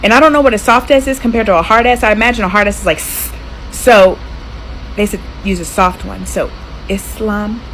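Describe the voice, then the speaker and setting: Expressive American woman, noisy recording. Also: medium pitch, fairly quick. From United States. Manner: expressive, emphatic. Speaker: social media content creator. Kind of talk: casual talk to camera. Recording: clearly noisy clip with room ambience.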